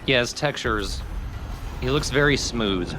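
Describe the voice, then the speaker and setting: A man's voice: medium pitch, animated, a little slow, noisy background. A man co-commentating casually on a video game. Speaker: let's play co-commentator. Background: video game sound.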